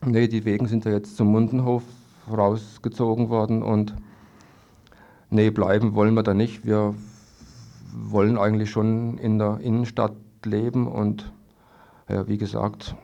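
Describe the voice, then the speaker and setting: A man gives a hesitant radio interview in a low, fairly even voice. He repeats words and pauses mid-sentence. The sound is dull and lo-fi, like an old broadcast tape. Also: gravelly. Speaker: interviewed evicted trailer-camp resident. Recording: dull lo-fi archival radio recording.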